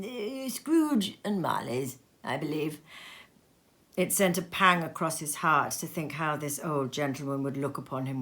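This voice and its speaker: A woman reading a classic tale aloud at home in her sitting room, telling it in a lively, expressive way. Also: fairly low pitch. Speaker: reader.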